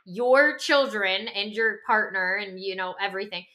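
A woman co-hosting a podcast in animated conversation, emphatic and often checking in with her listener. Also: medium pitch, normal speed, poor audio. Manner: acts out imagined reactions in quoted voices. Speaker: podcast co-host.

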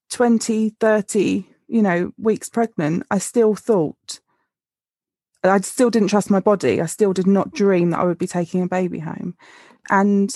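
A woman's voice: mid-range voice, darkish tone, no echo, studio quality. A woman in her thirties co-hosting a podcast, speaking frankly and openly in casual, heartfelt conversation with a wry edge. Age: 30s. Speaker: podcast co-host.

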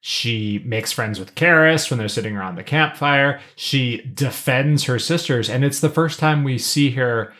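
A man's voice: mid-range voice, a little slow, hardly any pauses, fairly bright tone. Thoughtful man co-hosting a podcast. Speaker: podcast co-host. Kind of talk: casual analytical discussion.

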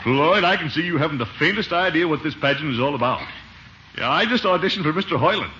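Pompous, self-satisfied small-town uncle played by a man, raised lively pitch, old-time radio comedy with dulled sound. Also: fast, natural voice. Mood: indignant. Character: pompous, vain middle-aged uncle, small-town water commissioner. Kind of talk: 1950s radio comedy acting. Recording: dull, noisy old radio recording.

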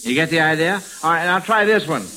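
Bright, high-voiced American radio quizmaster man. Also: fast talker, poor audio. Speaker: radio quiz show host. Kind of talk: 1940s radio quiz show hosting. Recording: hissy 1940s radio broadcast recording.

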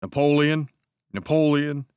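A man's voice: a little slow, a little mellow, clear, resonant tone, dry recording, clean studio sound, lightly acted. Man doing a brash, teasing young cavalry officer. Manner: hearty, teasing, raised pitch.

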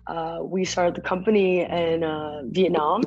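A young woman from Malaysia, a startup founder, explaining her work in a measured, businesslike way as a podcast guest. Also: on the low side, steady tone, darkish tone, muffled recording, soft background music. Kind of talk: casual podcast interview.

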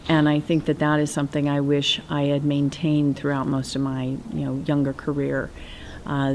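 A woman in cybersecurity speaking firmly and deliberately about finding her voice in a conference interview. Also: deep voice, a little hiss or hum. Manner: firm, measured, declarative. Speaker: cybersecurity professional.

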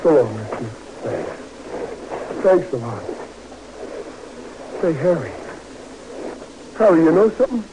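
Earnest young-hearted GI scout, lovesick, American accent, halting plain speech, slightly high voice, faintly noisy vintage radio drama. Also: male voice, very animated, warm tone. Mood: tender, halting. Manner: plainspoken, halting, repeating himself. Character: earnest, lovesick army private, a scout at the front.

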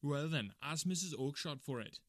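A man voicing a surly, bad-tempered market salesman who shouts brusquely and fiercely in a storybook reading. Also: on the high side, animated, moderate pace, no echo, studio quality, voice pushed up, close to an everyday voice. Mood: angry, dismissive.

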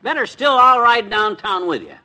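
Bright, cranky wisecracking American man. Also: sits high, expressive, moderate pace, heavily acted, rough recording. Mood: irritated. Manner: folksy, slangy, exasperated. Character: cranky wisecracking small-town man fed up with red tape. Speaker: lead actor in a radio comedy. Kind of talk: 1950s radio comedy acting.

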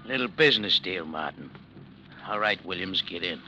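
An actor playing a menacing, casually ruthless gangster boss, terse and slangy, in 1940s radio crime style. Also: male voice, low voice, lively intonation, normal speed, sharp tone, exaggerated voice. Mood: cold, threatening. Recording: noisy vintage radio recording.

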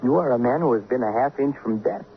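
An actor playing a dry, philosophical doctor in a radio drama, deliberate and gently lecturing. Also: male voice, mid-range voice, lively intonation, close to an everyday voice. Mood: dry, calm. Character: dry, philosophical male doctor tending a patient. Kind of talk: 1950s radio drama acting. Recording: muffled old radio recording, faint hiss.

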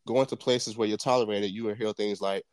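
A man's voice: lowish voice, fairly even intonation, fairly quick, darkish tone. A man co-hosting a casual podcast chat, talking in a sassy, blunt and profane way. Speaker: podcast co-host.

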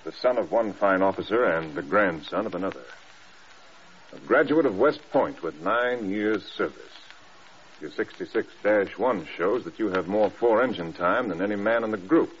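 An actor playing a stern, hard-driving air force general, clipped and scathing, in a radio drama. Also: male voice, lowish voice, animated, moderate pace, lightly acted. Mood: cold, scathing. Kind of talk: mid-century radio drama acting. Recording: dull, noisy old radio recording.

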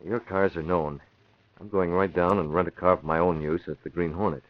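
A man's voice: deep voice, fairly even intonation, fairly quick, lightly acted. An actor playing a determined young crusading man with a secret identity, giving decisive orders in 1940s radio drama style. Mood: grave, decisive. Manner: decisive, gives crisp orders. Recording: dull vintage radio recording.

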